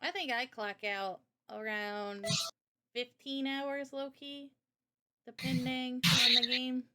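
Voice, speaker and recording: A woman's voice: sits high, fairly even intonation, slow, pushed and bright. A woman in a casual interview chat about games, speaking informally and with opinions as the host. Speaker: host and game developer.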